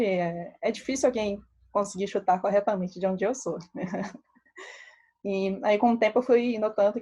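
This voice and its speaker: Chatty Brazilian woman with a mellow voice. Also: mid-range voice. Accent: Brazilian Portuguese, mixed Minas Gerais and Rio. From Belo Horizonte, Brazil. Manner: relaxed, chatty, many fillers, laughs often. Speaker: statistician presenting to a meetup. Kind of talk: casual talk.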